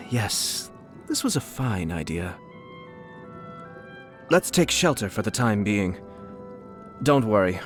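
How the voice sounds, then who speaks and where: An actor playing a suave young nobleman and scheming clan head in a video game, speaking in a polite, measured, formal and slyly amused manner. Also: medium pitch, close to an everyday voice. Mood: reflective. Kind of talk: scripted video game character lines.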